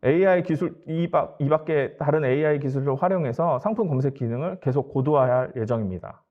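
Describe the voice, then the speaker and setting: Man, somewhat high-pitched, formal company presenter, polite matter-of-fact explaining, slightly muffled lo-fi recording. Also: brisk. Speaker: company presenter at a business tech webinar.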